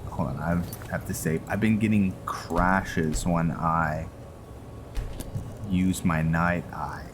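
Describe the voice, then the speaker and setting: A man's voice: low voice, fairly even intonation, slow pace, noisy background. A man giving casual, laid-back commentary as he roleplays through a video game, musing aloud and chatting to viewers. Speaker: gaming video host. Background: game music.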